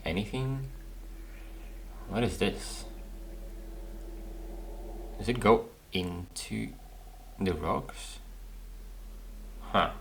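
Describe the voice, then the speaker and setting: Low, creaky-voiced man thinking aloud. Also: animated, extremely slow, pauses often, a bit bright, slight background noise. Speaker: gaming video host. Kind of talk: casual playthrough commentary.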